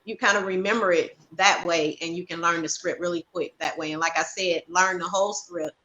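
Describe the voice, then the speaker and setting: A woman's voice: lowish voice, steady tone, brisk, bright, forceful tone, poor audio. A woman panel guest casually sharing practical tips in an informal, colloquial way. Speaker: guest, stage play writer-director.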